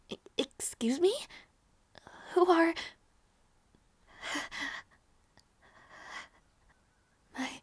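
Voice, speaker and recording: A woman playing a gentle young princess in an intimate audio roleplay, devoted and emotional, with a breathy, halting delivery. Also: very high-pitched, no room sound, exaggerated voice. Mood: stunned, hesitant. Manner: breathy, halting, stammering, often near whisper.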